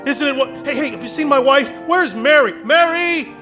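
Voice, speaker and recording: An actor playing an excited, stammering family man in a live radio play before an audience. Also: extremely high pitch, moderate pace, music in the background. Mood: joyful. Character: overjoyed family man, exuberant father.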